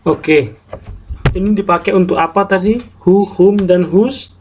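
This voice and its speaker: A man teaching a translation class online, explaining grammar slowly and step by step with English example sentences. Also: high voice, steady tone, slight background noise.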